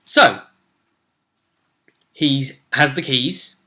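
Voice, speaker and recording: Bright-voiced young man telling a game story. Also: medium pitch, drawn-out words. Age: young adult. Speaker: vlogger recapping his tabletop roleplay campaign. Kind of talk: casual storytelling recap to camera. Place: small room at home, indoors.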